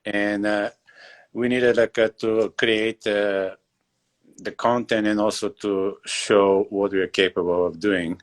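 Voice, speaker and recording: Man, forties, from Bulgaria, English, deep voice, halting with lots of fillers, video call. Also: flat delivery. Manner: hesitant, many fillers and pauses. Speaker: guest leatherworker and shoemaker. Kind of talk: casual interview over video call.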